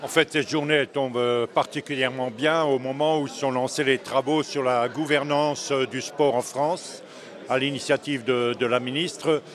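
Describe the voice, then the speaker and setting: A man giving a measured, formal explanation as an interviewee at an event. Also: mid-range voice, fairly even intonation. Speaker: event participant being interviewed.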